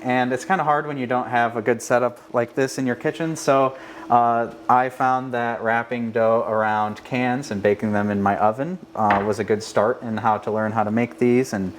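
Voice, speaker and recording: A man's voice: medium pitch, fairly even intonation, normal speed. A man with an American accent giving an informal, methodical walk-through of products as a food maker. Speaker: bakery worker demonstrating products. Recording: clear background ambience noise.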